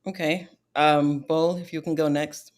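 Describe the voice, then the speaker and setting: A woman hosting a virtual panel discussion over a video call, posing questions in a measured way with some "um"s. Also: low-pitched, steady tone. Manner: measured, fills pauses with "um". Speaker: host and moderator.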